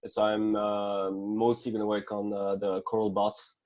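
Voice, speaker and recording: A man's voice: lowish voice, completely flat delivery, unhurried, a little mellow. A young man, a master's student introducing himself plainly with fillers. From France. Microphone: video call.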